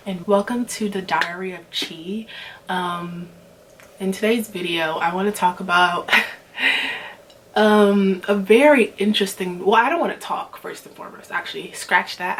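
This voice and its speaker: Twenty-something woman from nigeria rambling to camera, lots of um and like, faint hiss behind. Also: medium pitch. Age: 20s. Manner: rambling, many fillers and restarts. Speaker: vlogger. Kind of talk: casual rant to camera.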